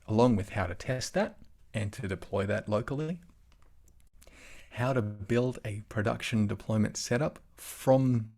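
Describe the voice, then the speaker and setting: A man's voice: fairly low pitch, measured pace, darkish tone. An Australian man giving an online tech talk over a video call, chatty, with self-deprecating asides. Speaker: conference speaker.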